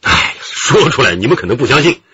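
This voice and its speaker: An actor playing a genial, modest middle-aged detective chief who reminisces in a chatty, deliberate way in a radio drama. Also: male voice, mid-range voice, big pitch swings, sharp tone, breathy edge, dry recording, exaggerated voice. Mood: animated recounting. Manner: chatty, deliberate, with drawn-out interjections. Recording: muffled, dull radio drama recording.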